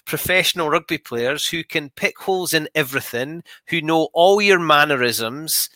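A man's voice: on the high side, expressive, moderate pace, sharp tone, dry recording. A man interviewing in a conversational way, emphatic, piling up lists and rhetorical questions.

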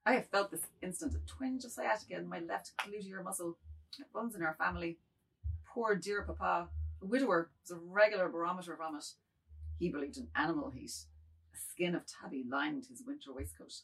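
A woman's voice: on the low side, a little fast, gravelly, fairly bright tone, husky, exaggerated voice, low-quality recording. A woman playing a submissive, wordy, fretful aging man, speaking florid lines in a dramatised literary reading. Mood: weary, rambling. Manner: florid, meek, rambling.

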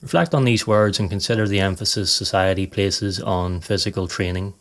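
A man narrating a scripted guided meditation in a calm, measured, soothing way. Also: deep voice.

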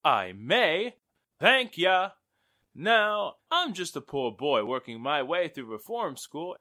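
A man's voice: fairly high pitch, bright, forceful tone, dry recording, studio quality, exaggerated voice. A man acting a playful, wisecracking fellow in a 1940s-style radio drama, with jokey, theatrical patter. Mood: jokey salesman patter.

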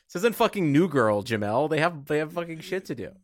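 A man's voice: fairly high pitch, big pitch swings, a little fast, dry recording, studio quality. An American man co-hosting a podcast, chatting in an informal, teasing, sweary style. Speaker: podcast co-host. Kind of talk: casual comedic chat.

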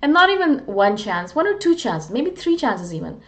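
A woman's voice: on the high side, very animated, normal speed. A woman from India, talking in a candid, earnest, self-deprecating way in a casual, reflective monologue as a vlogger. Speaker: vlogger on personality types.

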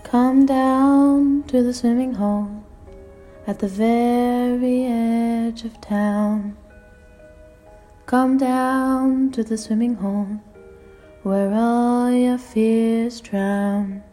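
A woman playing an eerie young siren girl who sings slowly to lure people in an amateur horror audio drama. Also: fairly high pitch, fairly even intonation, mellow, soft background music. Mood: eerie, luring.

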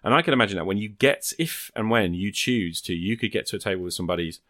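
A man's voice: on the low side, lively intonation, fast talker, fairly bright tone, no echo, studio quality. A man hosting a podcast in casual chat, rambling encouragingly as he thinks aloud. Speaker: podcast host.

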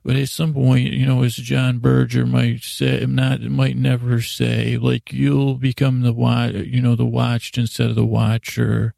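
A man hosting a sleep podcast, rambling in a halting, self-interrupting way with silly, self-deprecating asides that trail off mid-thought. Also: mid-range voice, little pitch movement, vocal fry, dark tone, no echo. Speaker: podcast host.

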